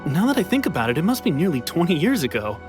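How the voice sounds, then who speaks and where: Game casting: a worried man in need of help, slightly high, hesitant and resigned, soft music behind. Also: big pitch swings, fast talker. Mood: wistful. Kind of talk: video game character acting.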